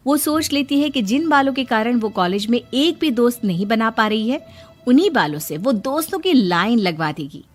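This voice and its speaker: A woman narrating a scripted cartoon moral story as its storyteller. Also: mid-range voice.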